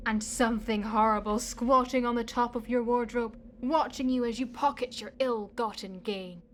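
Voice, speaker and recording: Radio play daughter, female actor: young adult, playful, cheeky, slightly high pitch, theatrical teasing. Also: steady tone, normal speed, slight background noise, close to an everyday voice. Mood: teasing, mock spooky. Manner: playful, mock-dramatic. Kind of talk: amateur radio play acting.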